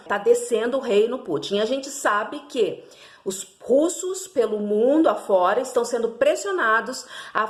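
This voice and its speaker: Brazilian woman host, somewhat high voice, emphatic commentary, roomy echo. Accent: Brazilian Portuguese. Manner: emphatic, repeats key phrases, rhetorical questions. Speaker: channel host. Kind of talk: opinionated commentary monologue.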